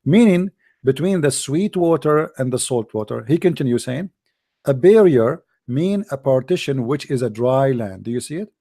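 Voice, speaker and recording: Worn-out guy arguing with callers, raspy gravelly voice, dark and dry. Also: medium pitch, lively intonation, normal speed. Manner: combative, repeats "my friend", frequent throat clearing. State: tired, dry voice. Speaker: host of an online call-in debate. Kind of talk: argumentative call-in debate.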